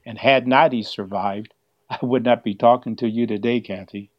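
A man's voice: mid-range voice, steady tone, moderate pace, no room sound. An older man explaining history as an interview guest, heard over a dull, band-limited line. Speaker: museum exhibit curator, interview guest.

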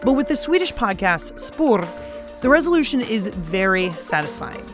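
A woman's voice: medium pitch, big pitch swings, moderate pace, music in the background. A woman with an American accent hosting a radio show, reading scripted narration in a playful, conversational way. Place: dry studio.

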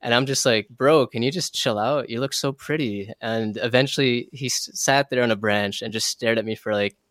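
A man's voice: mid-range voice, moderate pace, a bit bright, no echo. A young American man chatting casually as an interview guest, with an informal, slangy, effusive way of talking. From southern Minnesota, United States. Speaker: interview guest, wildlife photographer.

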